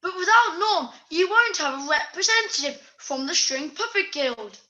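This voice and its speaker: A woman's voice: very high voice, lively intonation, moderate pace, bright, forceful tone, full character voice, low-quality recording. A young woman acting an earnest, worried puppet committee member in an amateur puppet show.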